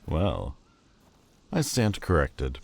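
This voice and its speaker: A man's voice: extremely low pitch, wildly swooping pitch, drawn-out words, gravelly, darkish tone, heavily acted. A man voicing a proud, bitter demon lord who speaks in a cold, cutting, haughty way and hides a lovesick heart. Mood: mocking. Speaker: voice actor. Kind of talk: audio roleplay acting.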